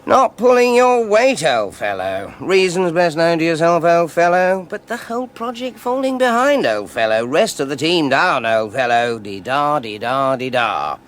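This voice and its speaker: An actor playing a sardonic, bitter British research scientist of about forty in a radio drama, speaking in a clipped, brooding way. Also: male voice, on the high side, big pitch swings, normal speed, hardly any pauses, fairly bright tone. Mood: mocking. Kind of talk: 1980s BBC radio drama acting.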